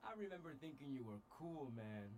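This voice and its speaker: An actor playing a cocky, wisecracking young robot outlaw in a sci-fi game cutscene, slangy and teasing. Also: male voice, fairly high pitch, sing-song, brisk, a little mellow, a little hiss or hum.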